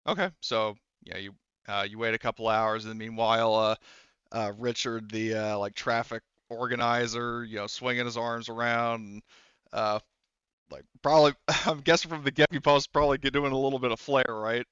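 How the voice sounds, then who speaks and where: A man narrating a tabletop game as game master, casual, improvised and rambling, with halting delivery. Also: mid-range voice, steady tone, a bit bright, clean tone, dry recording, lo-fi sound.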